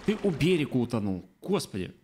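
A man's voice: mid-range voice, sing-song, fairly quick, background noise. A man in his thirties streaming a game from a small room, chatting casually, thinking aloud and answering his chat. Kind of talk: casual let's-play commentary. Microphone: studio mic on arm by face.

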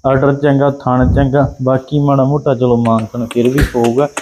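A man with a Punjabi accent hosting a livestock sale video outdoors on a dairy farm, running through each cow's traits in quick rhyming checklists. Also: medium pitch, level tone, dark tone, slight background noise, poor audio. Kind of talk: casual livestock sales commentary.

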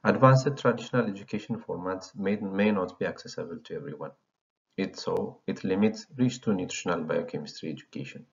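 A man's voice: low-pitched, brisk, gravelly. A man from Ethiopia in his thirties, founder of an educational channel, reading a slide presentation in a formal lecturing tone. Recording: dull lo-fi voice recording.

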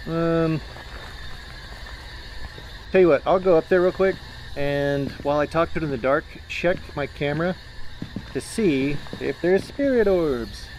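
A man's voice: a little high, unhurried, long pauses, a little mellow, dull audio. Man streaming a game, noisy background. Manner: informal, profane, jokey. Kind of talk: casual chat while playing a horror game. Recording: clear background game noise.

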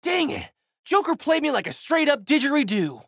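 A man's voice: very high-pitched, sing-song, moderate pace, vocal fry, a bit bright, no echo, studio quality, exaggerated voice. A male actor voicing a spirited, goofy teenage boy hero in a video game, with brash, big-hearted, slangy delivery. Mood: frustrated. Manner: exuberant, slangy, emphatic delivery. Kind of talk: video game character battle lines.

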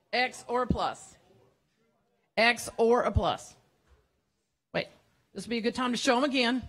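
A woman's voice: on the high side, a bit bright. A woman in her sixties, a marine educator, gives a casual public science talk in a playful, chatty way, often correcting herself mid-sentence, speaking into a handheld mic in a big museum hall. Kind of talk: informal science cafe lecture. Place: large museum cafe hall with a seated audience. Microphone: handheld microphone.

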